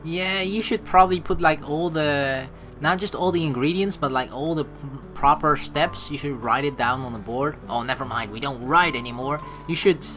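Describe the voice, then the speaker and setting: Playful, animated low-voiced woman gamer. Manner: playful, chatty, reacts to the story. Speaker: let's play host. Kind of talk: game playthrough, reading narration aloud with casual commentary. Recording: game music behind voice.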